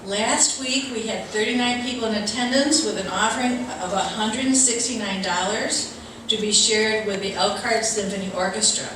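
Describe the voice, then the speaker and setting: A woman's voice: mid-range voice, moderate pace. A woman giving informal church announcements to a congregation. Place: large reverberant room at a church service. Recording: very echoey, noisy hall, distant mic.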